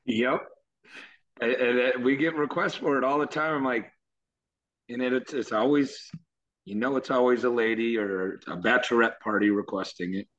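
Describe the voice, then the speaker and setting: A man with a low voice, a musician guest chatting casually in an interview, easygoing and rambling. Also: normal speed, fairly bright tone, dull audio. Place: Nashville.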